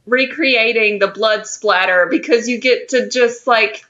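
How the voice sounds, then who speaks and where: A woman's voice: fairly high pitch, a little slow, bright, forceful tone, dull audio. A young woman co-hosting a podcast with casual, wry, teasing banter. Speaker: podcast co-host.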